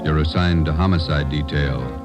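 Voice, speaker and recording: A man with an American accent giving solemn, measured, dramatic scripted narration as a vintage radio announcer. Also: a bass voice, over music.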